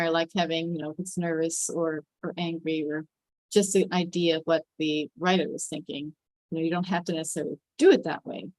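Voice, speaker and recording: A woman with a slightly low voice explains her view in a casual discussion. She is conversational, and the sound is dry, without room echo. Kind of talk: casual group discussion of a script.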